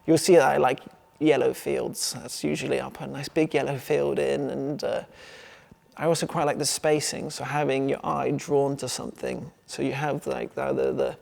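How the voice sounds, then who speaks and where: A man with an English accent and a very gravelly, creaky voice at a middle pitch. He talks informally as an artist, wandering loosely from one thought to the next. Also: flat delivery, moderate pace, a little mellow. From England. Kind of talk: casual talk about own work.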